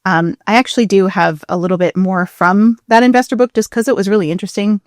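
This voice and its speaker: A woman's voice: medium pitch, fairly even intonation, fast talker, no room sound, clean studio sound. A woman co-hosting a podcast, explaining things in a casual, chatty, informal way with interest in the details. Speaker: podcast co-host.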